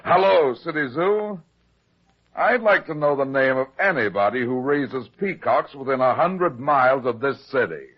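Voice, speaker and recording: An actor playing a wisecracking heavyset private eye in 1950s radio style, deadpan and sardonic. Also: male voice, mid-range voice, normal speed, lightly acted. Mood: brisk. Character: wisecracking, unflappable heavyset male private detective. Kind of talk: 1950s hardboiled radio detective acting and narration. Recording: muffled 1950s radio recording.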